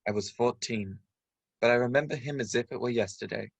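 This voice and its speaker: A man playing an earnest storyteller who recalls a boyhood adventure, narrating a radio play in a measured, literary way. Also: medium pitch, vocal fry, slightly rough, dry recording. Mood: reflective storytelling.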